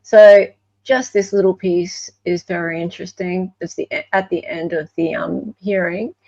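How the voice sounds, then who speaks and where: A woman's voice: fairly low pitch, steady tone, a little slow, warm tone, no room sound, dull audio. An Australian woman hosting a livestream with casual, unscripted commentary, often searching for her words. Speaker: livestream host.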